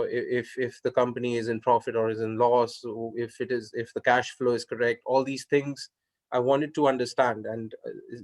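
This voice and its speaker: Hesitant man from India. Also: mid-range voice. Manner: hesitant, many restarts and fillers. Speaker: interviewer. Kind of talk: casual video call conversation.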